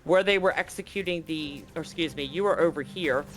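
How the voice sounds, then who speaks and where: A man with a somewhat high voice runs a tabletop roleplaying game. He narrates casually and explains haltingly, often rephrasing as he goes. Music plays faintly underneath. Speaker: game master.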